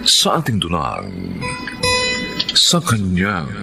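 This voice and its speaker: Male drama narrator, theatrical and slow, hugely expressive intonation, clear music bed. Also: sits low. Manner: solemn, theatrical. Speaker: radio drama announcer and narrator. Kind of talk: dramatic scripted announcing.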